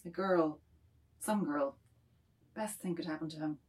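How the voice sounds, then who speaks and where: A woman's voice: sits low, a little slow, darkish tone. A woman playing a kindly, anxious middle-aged man in a dramatic table reading, speaking in clipped, musing fragments. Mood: musing, tender.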